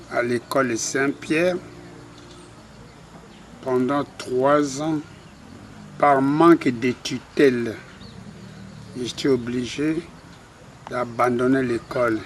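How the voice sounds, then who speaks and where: An older man with a Congolese French accent recounting his life in an interview, halting and modest. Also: mid-range voice. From Republic of the Congo. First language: a Congolese language. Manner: slow, halting, self-deprecating. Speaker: interviewee, retired cabinetmaker. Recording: faint background noise.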